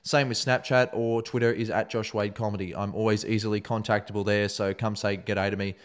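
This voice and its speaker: A young man with an Australian accent hosting a casual podcast interview, loose and jokey and quick to swear. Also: lowish voice, fairly even intonation, clear, resonant tone. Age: young adult. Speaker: podcast host.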